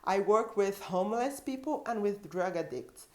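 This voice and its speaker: A woman in her thirties from Brazil, speaking English with a Brazilian Portuguese accent, sharing her story as a missionary and social worker in an earnest, halting way with many restarts. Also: medium pitch, lively intonation. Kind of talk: personal testimony.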